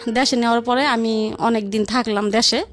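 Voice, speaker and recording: A woman's voice: a little high, steady tone, moderate pace, faint music behind. A woman telling her life story in a rambling, repetitive, conversational way. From Barisal region, Bangladesh. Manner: rambling, repetitive, colloquial narration.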